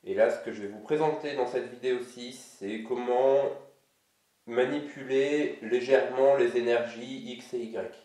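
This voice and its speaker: A man with a cold, an energy healer giving a casual instructional video in a slow, halting way. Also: mid-range voice, a little mellow, recorded from a distance. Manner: slow, halting, with many pauses. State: has a cold. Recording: roomy echo, away from mic.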